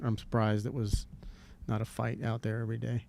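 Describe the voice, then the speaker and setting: A man's voice: medium pitch, monotone, creaky, a little mellow, a little hiss or hum. A man from Jacksonville, hosting a podcast in casual, rambling chat. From Jacksonville, Florida. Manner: rambling, self-described redneck, drawn-out storytelling. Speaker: podcast host.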